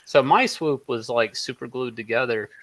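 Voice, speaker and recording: A man chatting casually as a podcast guest, telling stories in an animated, repetitive way. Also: medium pitch, normal speed, dry recording. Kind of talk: casual chat.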